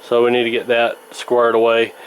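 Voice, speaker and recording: A man's voice: mid-range voice, completely flat delivery, normal speed, a little hiss or hum, low-quality recording. A man giving a casual, unscripted repair demonstration in a folksy, rambling, hesitant way. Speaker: host of a vintage radio repair channel.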